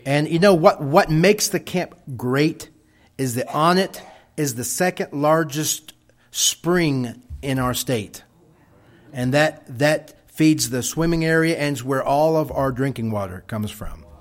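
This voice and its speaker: A middle-aged man with a Texas accent, a missionary telling a church congregation about his work and answering questions in a folksy, halting way with many restarts. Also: on the high side.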